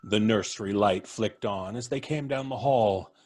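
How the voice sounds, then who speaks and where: A man narrating a classic tale in a measured, vivid way for a live audience show. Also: on the low side, darkish tone. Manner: measured, vivid, lingering on sensory details. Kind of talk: dramatic live story narration. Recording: live stage recording with faint music.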